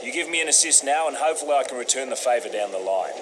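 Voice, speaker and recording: A blunt, practical military captain acted by a man in a video game. His pitch moves around a lot as he talks in slangy, stubborn terms, with clear outdoor noise behind him. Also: lively intonation, racing, natural voice. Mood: businesslike. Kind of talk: video game cutscene acting.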